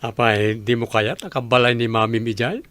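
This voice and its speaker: A man's voice: medium pitch, flat delivery, a little fast, a bit bright, natural voice. A man playing a genial, coaxing suitor who is eager to please, in an Ilocano radio drama. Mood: coaxing, tender.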